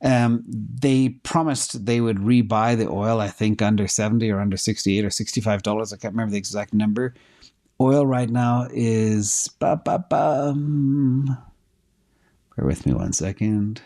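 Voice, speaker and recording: A man's voice: lowish voice, a little slow, mellow. A middle-aged man who is losing his voice hosts a casual livestream, answering viewer questions and thinking aloud with fillers while he checks data.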